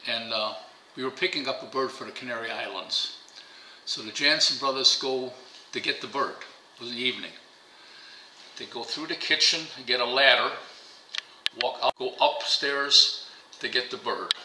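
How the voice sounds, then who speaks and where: A man's voice: mid-range voice, normal speed, long pauses, pushed and bright, echoey room, a little hiss or hum, rough recording. An older man whose first language is German, telling stories in a casual interview at a dining table at home, rambling and repeating words. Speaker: interviewee, pigeon fancier. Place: bright home dining room, indoors.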